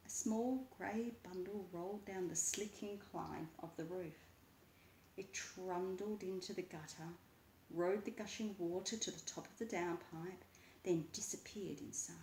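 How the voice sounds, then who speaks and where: A woman reading a children's book aloud with expressive, deliberate, dramatic narration. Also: mid-range voice, a little hiss or hum. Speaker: story reader. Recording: roomy, echoing voice.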